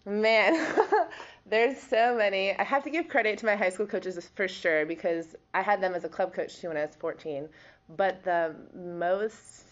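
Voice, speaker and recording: A woman's voice: medium pitch, unhurried, dull audio. A young woman in her twenties from Wisconsin, a college volleyball player answering interview questions in a chatty, giggly way with lots of fillers. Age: 20s.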